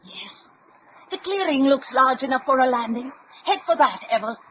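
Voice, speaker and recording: High-voiced, determined radio serial heroine. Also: female voice, expressive, normal speed. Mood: commanding. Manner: earnest, melodramatic, commanding. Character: determined, dramatic young heroine, commanding and awestruck. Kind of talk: old-time radio adventure acting. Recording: tinny band-limited radio drama, background noise.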